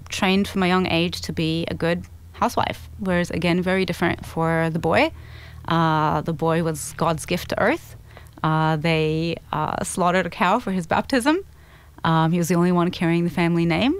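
A woman's voice: lowish voice, fairly even intonation, normal speed, smooth, dry recording. A woman from Egypt, a guest on an interview show, telling her life story in a candid, reflective way. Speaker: interview guest, author and speaker.

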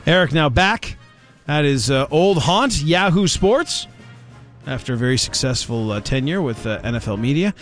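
A man's voice: a little high, lively intonation, moderate pace, fairly bright tone, soft background music. A man hosting a sports talk radio show, rambling and sarcastic with lots of filler words.

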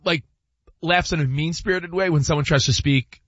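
A man's voice: on the high side, normal speed, dry recording, highs cut off. A man co-hosting a sports radio show, chatting casually and rambling with many restarts.

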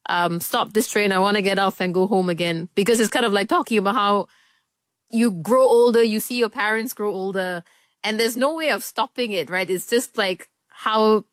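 A woman's voice: medium pitch, a bit bright, dry recording. Thoughtful woman in her thirties. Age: 30s. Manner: thoughtful, earnest, lightly laughing. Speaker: head of news. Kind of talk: casual chat.

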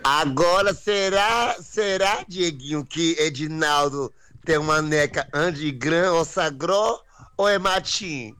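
Male, slightly high-pitched, slow and drawn-out, repetitive musing in comic banter. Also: sharp tone, clean tone. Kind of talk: joking slang chat.

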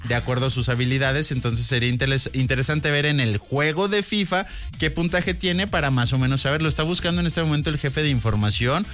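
Joking Mexican radio host, high voice. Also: male voice, a little fast, a bit bright, soft background music. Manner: joking, slangy, laughs often. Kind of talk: casual morning radio chat.

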